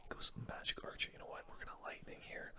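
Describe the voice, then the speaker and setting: A person whispering casual, slangy ASMR game commentary in a breathy, unvoiced voice close to the microphone. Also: fast talker, bright, forceful tone. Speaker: gaming video host.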